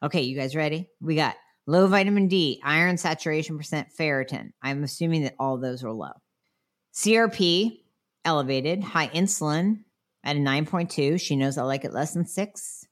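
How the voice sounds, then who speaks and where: Frank, chatty health practitioner, a middle-aged woman with a lowish voice, nasal from a cold, answering viewer questions. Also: animated, normal speed. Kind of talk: casual Q&A talk.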